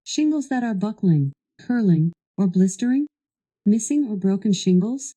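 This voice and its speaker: A woman's voice delivering scripted instructional narration as a voice assistant, in a measured, even and formal way. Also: medium pitch. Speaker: voice assistant guiding a product demo.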